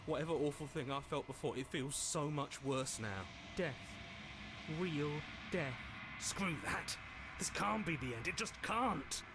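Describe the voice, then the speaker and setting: A man voicing a frantic, heroic inner voice that talks in a higher, urgent and pleading way. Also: unhurried, noisy background. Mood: desperate.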